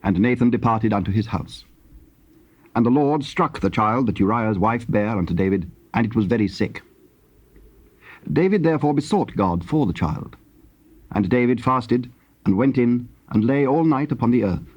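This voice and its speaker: A man with a husky voice narrating scripture in a solemn, scripted style. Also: mid-range voice, animated, normal speed, darkish tone. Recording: dull, lo-fi tape sound.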